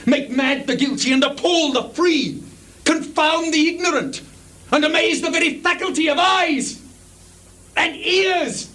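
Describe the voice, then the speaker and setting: A male stage actor playing an anguished, self-loathing young prince bent on revenge, in a declamatory, drawn-out Shakespearean style. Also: very high-pitched, heavily acted. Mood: impassioned, incredulous. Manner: declamatory, drawn-out, swinging from hushed to shouting. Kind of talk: theatrical Shakespearean dramatic reading. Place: on stage in a small theatre. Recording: noisy 1980s live theatre video recording.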